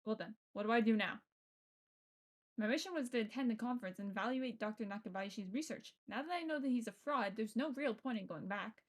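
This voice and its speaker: A woman streamer reading a visual novel aloud and adding casual, playful, expressive commentary. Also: a little high, brisk. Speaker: game streamer.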